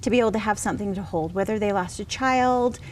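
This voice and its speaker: A middle-aged woman with a mid-pitched voice talks as an interview guest. She is earnest and reassuring, sharing her story in a conversational way. There is some noise behind her. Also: fast. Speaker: interview guest, children's book author.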